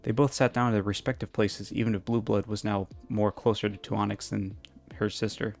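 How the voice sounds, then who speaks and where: A man's voice: fairly low pitch, level tone, fairly quick, gravelly, darkish tone, soft background music. A man reading a story aloud in an informal, amateur storytelling style. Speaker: fan fiction reader. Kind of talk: storybook narration, casual intro.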